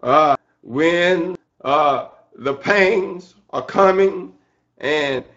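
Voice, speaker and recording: A man preaching an excited church sermon in a halting way, repeating phrases and punctuating them with amen and hallelujah. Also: high-pitched. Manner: excited, fervent. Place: church service. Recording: dull, lo-fi sermon recording.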